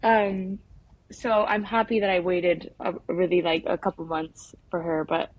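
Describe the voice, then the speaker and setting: A young woman from the United States answering interview questions as a documentary filmmaker, in a casual, halting, informal way full of filler words. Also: fairly low pitch, little pitch movement, no echo, highs cut off. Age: young adult.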